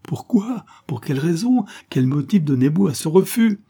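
A man's voice: mid-range voice, moderate pace, warm tone, slightly rough, close to an everyday voice. A man voicing an ardent, boyish young man in love, exclamatory and insistent, in a storybook reading. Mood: anguished insistence.

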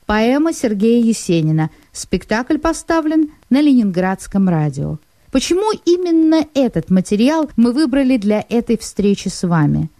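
A woman introduces a radio programme from a script. Her voice is fairly high yet dark and mellow, recorded close and dry. The delivery is measured and formal. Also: no echo. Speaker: radio presenter. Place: radio studio.

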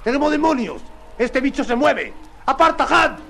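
An actor playing an excitable, grumbling, easily frightened comic crewman with blustering exclamations, in a 1950s radio serial. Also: extremely high pitch, normal speed, gravelly, full character voice. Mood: panicked. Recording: noisy vintage 1950s radio recording.

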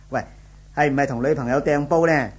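An actor playing a friendly, inquisitive, breezily reassuring office colleague in a 1970s radio drama, with a Hong Kong Cantonese accent. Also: male voice, mid-range voice, normal speed, husky, close to an everyday voice. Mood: teasing.

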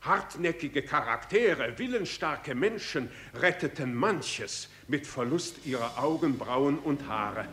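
A man's voice: mid-range voice, animated, takes it slowly, hardly any pauses, a bit bright. A man narrating literary prose in a radio drama.

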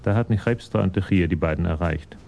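Very deep, gravelly male narrator. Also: quick pace, darkish tone, slight background noise. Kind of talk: scripted narration of a crime drama.

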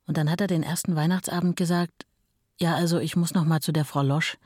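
A woman's voice: low voice, steady tone, a little fast, darkish tone, dry recording, clean studio sound. An actress playing a sorrowful, plainspoken ex-wife reminiscing about her marriage in a documentary-style radio play. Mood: matter-of-fact recollection.

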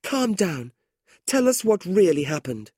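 A man's voice: high-pitched, sing-song, unhurried, mellow, breathy edge, dry recording, studio quality, voice pushed up, heavily acted. A man voicing an anxious young woman pleading with her brother, in a storybook reading.

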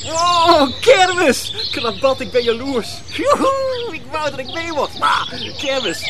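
Greedy, gleeful boy apprentice in radio play: extremely high, swooping delivery, noisy track with birdsong. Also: very animated, takes it slowly, heavily acted. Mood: excited, envious. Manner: exclaiming, gleeful, whining. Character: excitable, greedy, food-loving boy apprentice. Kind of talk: radio drama acting.

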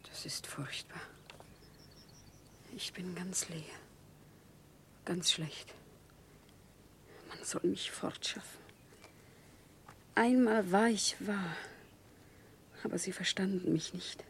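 A woman's voice: on the low side, slow, long pauses, in a whisper. An actress in a German radio drama playing a fervent, obsessive woman of the common people with a solemn, impassioned delivery. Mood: crushed despair.

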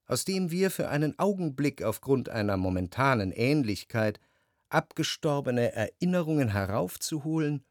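An actor playing a jealous, brooding narrator who speaks in measured, reflective long sentences in a literary radio drama. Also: male voice, mid-range voice, big pitch swings, no echo, studio quality, lightly acted. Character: jealous, brooding male narrator, introspective and anxious.

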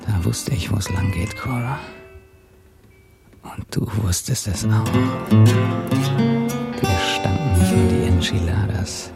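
An actor playing a world-weary drifter who narrates a radio drama in a gravelly, intimate, brooding way. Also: male voice, fairly low pitch, sing-song, takes it slowly, pauses often, loud music behind the voice, heavily acted. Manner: brooding, intimate, sometimes near a whisper. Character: world-weary drifter recounting a dark obsession.

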